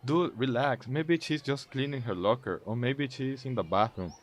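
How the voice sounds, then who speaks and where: A man's voice: mid-range voice, normal speed, lightly acted. A man playing a laid-back, reassuring teenage friend in an amateur audio drama.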